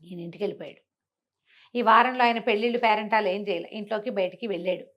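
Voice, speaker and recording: A woman's voice: medium pitch, fast, a bit bright, dull audio. A woman storyteller talking conversationally and expressively, posing rhetorical questions as she tells a moral tale.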